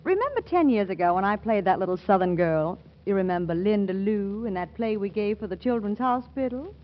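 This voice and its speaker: A woman's voice: medium pitch, a little fast, lightly acted. A woman playing a mischievous, teasing wife with a scheme, in a 1940s radio comedy. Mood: playful scheming. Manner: animated, teasing. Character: mischievous, playfully scheming wife returned home. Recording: muffled noisy 1940s radio broadcast.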